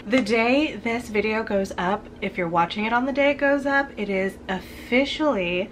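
A young woman talking to camera about books in a room lined with bookshelves, chatty and upbeat, her voice husky from a cold. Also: fairly high pitch, moderate pace, slight background noise. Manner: chatty, upbeat, laughs between sentences. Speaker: book vlogger. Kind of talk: casual book tag talk to camera.

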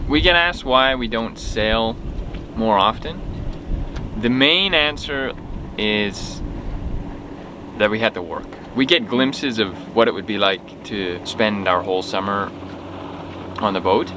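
A young man co-hosting a sailing vlog, calmly explaining things to camera with dry little quips. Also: on the low side, slow pace, long pauses, background noise. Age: young adult. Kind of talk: casual chat to camera. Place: outdoors in a sailboat cockpit at anchor.

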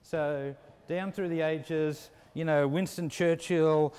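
A middle-aged man with an Australian accent giving measured, unscripted interview answers with some hesitant phrasing. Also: a little high, fairly even intonation, a little mellow, smooth. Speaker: business school dean, interviewee. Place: walking through a university building. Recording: echoey indoor hallway.

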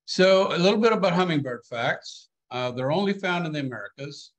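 A man in his sixties, born in Sweden, giving a relaxed, joking talk over a video call from a home office, with many fillers and restarts. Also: a little high, a little fast, low-quality recording. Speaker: guest speaker.

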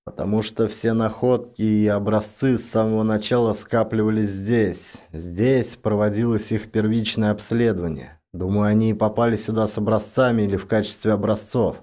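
Man acting a grim, mellow-voiced male scientist. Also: on the low side, steady tone, lightly acted. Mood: measured. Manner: measured, serious explaining. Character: grim, cautious male scientist on space expedition. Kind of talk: audiobook drama character voice.

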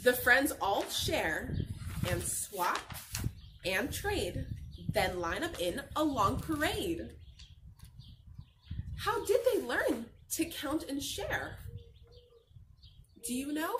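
Young woman, children's read-aloud, slow-paced, lively intonation, instructive, question-and-answer delivery, noisy outdoor recording. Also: high voice. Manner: expressive, instructive, asks questions and answers them. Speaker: teacher reading aloud to children. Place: outdoor patio by a garden.

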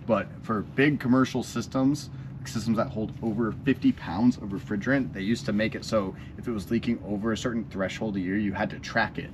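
Young hvac dude explaining stuff, lowish voice, lots of um and like, camera mic with some hiss. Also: male voice, fairly even intonation, brisk. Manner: casual, rambling, many fillers and restarts. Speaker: HVAC technician and channel host. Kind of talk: casual explainer vlog. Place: backyard beside an AC unit, later a small office.

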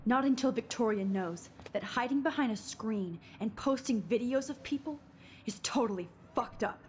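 A woman's voice: a little high, moderate pace, natural voice. A woman voicing an earnest, plain-spoken young photography student in a story-driven video game. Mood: angry, confrontational. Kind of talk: narrative video game acting.

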